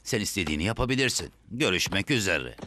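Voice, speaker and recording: An actor voicing a smug, mischievous freeloading wolf with a sardonic cartoon delivery. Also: male voice, low voice, big pitch swings, fast. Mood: nonchalant. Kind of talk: Turkish-dubbed cartoon acting. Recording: music behind the voice.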